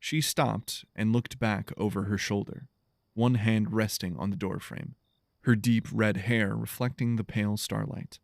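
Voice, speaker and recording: Low, gravelly male story narrator. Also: steady tone, measured pace, dry recording, clean studio sound.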